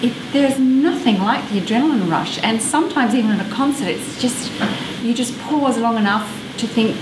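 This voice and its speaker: A woman's voice: fairly high pitch, moderate pace. Australian woman, echoey noisy room. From South Australia. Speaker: orchestral double bass player. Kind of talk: casual interview. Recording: echoey room with background noise.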